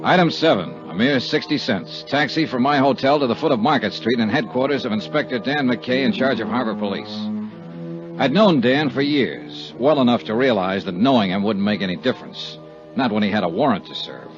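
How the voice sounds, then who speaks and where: A man's voice: mid-range voice, animated, a little fast, natural voice. A man playing a wry, tough insurance investigator in a 1950s radio detective drama, with a clipped hard-boiled delivery. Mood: wry narration. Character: wry, tough male insurance investigator, smooth-talking, narrating his case. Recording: muffled vintage 1950s radio recording, music.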